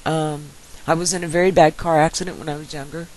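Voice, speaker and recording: A woman's voice: deep voice, fairly even intonation, fast talker, darkish tone, poor audio. A woman with a very nasal voice, talking to her viewers in a casual, meandering vlog monologue. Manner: meandering, speculative talk. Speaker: YouTube commentator replying to viewers.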